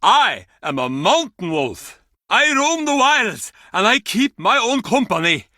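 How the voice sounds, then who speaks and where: A man's voice: high voice, sing-song, measured pace, bright, forceful tone, no echo, studio quality, exaggerated voice. A man playing a bitter, cranky ex-sailor in a video game, with a brusque, mocking, theatrical manner. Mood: theatrical, defiant. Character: gruff, bitter Icelandic ex-sailor, cranky menacing male pub regular. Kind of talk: video game character acting.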